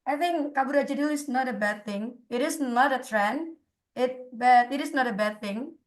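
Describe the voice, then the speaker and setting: A woman with an Indonesian accent speaks in a fairly high voice. She shares her opinion informally on an online call. Her delivery is halting, with repeated phrases as she searches for the next word. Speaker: participant in an online discussion.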